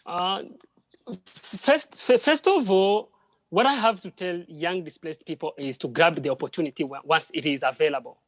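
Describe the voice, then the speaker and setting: A man from DR Congo, a French speaker, speaking as a panel guest in halting English full of restarts. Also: a little high, dry recording, poor audio. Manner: halting, with frequent restarts and repeated words. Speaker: panel guest, drone project worker. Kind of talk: live panel conversation.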